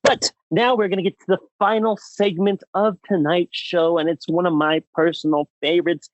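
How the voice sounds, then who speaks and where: A man's voice: high-pitched, fairly even intonation, normal speed, no room sound, muffled recording. A man hosting a casual podcast, bantering with playful, mock-outraged showmanship. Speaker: podcast host.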